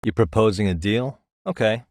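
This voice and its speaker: A man's voice: lowish voice, normal speed, a little mellow, dry recording, clean studio sound, lightly acted. A man playing a streetwise private detective in a video game, cool, wry and dogged, with an American accent and a casual, conversational delivery. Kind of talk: English video game voice acting.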